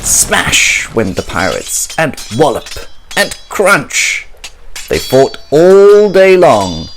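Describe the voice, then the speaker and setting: A male storyteller reads a picture book for children. His delivery is expressive and theatrical, with hugely swinging intonation. He takes his time over the words. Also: fairly high pitch, very slow, slight background noise.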